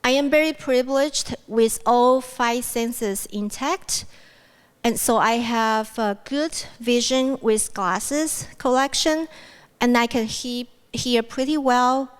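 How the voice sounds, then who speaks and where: A woman whose first language is Mandarin leads a guided grounding exercise at a podium microphone, speaking gently with many fillers. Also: a little high, clean tone. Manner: gentle, unhurried, with frequent fillers and restarts. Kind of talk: guided mindfulness exercise at a plenary. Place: university event room with a loud air-conditioning fan.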